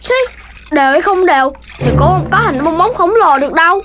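High-pitched excitable cartoon child animal. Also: a little fast, background noise. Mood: scoffing. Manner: exclamatory, childlike. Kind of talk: animated children's series voice acting. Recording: music behind the voice.

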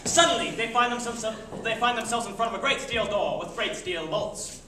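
A man's voice: high voice, moderate pace. A young man delivering theatrical, declamatory narration in a radio-serial style. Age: young adult. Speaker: performer narrating. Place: classroom, indoors. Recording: echoey classroom, distant noisy camera.

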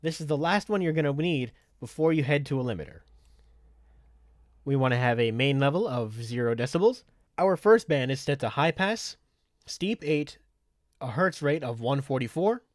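A man giving a methodical, step-by-step software tutorial in an instructional way. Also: a little high, no echo, studio quality. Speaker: tutorial presenter.

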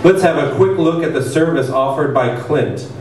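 Smarmy TV game show host, male reader, syrupy and grandiose, raised pitch, very echoey room. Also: normal speed, darkish tone, lightly acted. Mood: grandly unctuous. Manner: syrupy showman patter. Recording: echoey hall, distant mic, faint noise.

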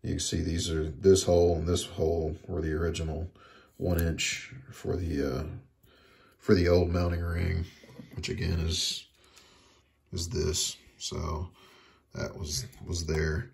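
Casting: man with a low, creaky voice for an informal electronics repair walkthrough, hesitant and repetitive in phrasing. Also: little pitch movement, a little mellow. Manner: halting, repeats words and trails off. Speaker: hobbyist demonstrating electronics repair. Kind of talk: casual hands-on how-to narration. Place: indoors at a workbench.

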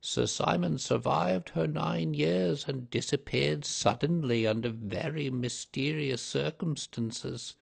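Brief: ominous old housekeeper, slow and hushed, high and gravelly, put on by a man. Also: heavily acted. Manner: low, slow, mysterious delivery.

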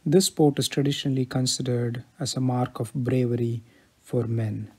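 A man's voice: mid-range voice, fairly even intonation, moderate pace, a little mellow, slightly rough. A man giving prepared narration about traditions over a picture slideshow. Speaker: presenter.

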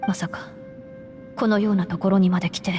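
An actress playing a composed young woman deputy who speaks in a formal, deadpan way. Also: mid-range voice, a little fast, natural voice. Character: calm, dry young woman gang deputy. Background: game music.

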